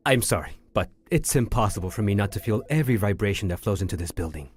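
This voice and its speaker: An actor playing a whimsical, childlike creative director in a comedic audio drama, with a theatrical, earnest delivery. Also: male voice, fairly low pitch, expressive, quick pace, natural voice. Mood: wounded, earnest.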